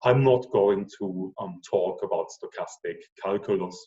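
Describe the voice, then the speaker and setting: A man's voice: deep voice, a little slow, far from the mic, dull audio. A man in his forties with a German accent, a professor giving a casual online lecture with frequent ums. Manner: relaxed, chatty, with frequent ums.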